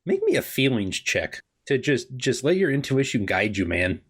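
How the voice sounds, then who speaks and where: A man's voice: medium pitch, very animated, normal speed, breathy edge. A man with a mid-range voice runs a tabletop game as game master. He improvises casually, with playful, wry banter, and tends to repeat his own phrases.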